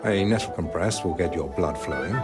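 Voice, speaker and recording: An actor playing a learned, stern but caring medieval physician in a video game, clipped and authoritative. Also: male voice, deep voice, expressive, a little fast, over music. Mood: calm, instructing. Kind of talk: video game cutscene acting.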